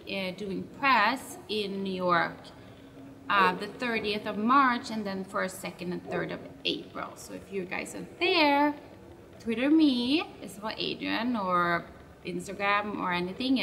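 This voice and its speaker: A chatty woman, answering fan questions in a loose, rambling vlog. She stops to correct her own words as she talks. Her voice sounds set back from the mic, with a clear layer of background noise. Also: mid-range voice, sharp tone, distant mic. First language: Swedish. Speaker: web show host.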